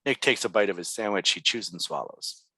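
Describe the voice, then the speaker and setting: Bright, low-voiced man reading stage directions. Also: expressive, brisk, no echo. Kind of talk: scripted narration at a table read.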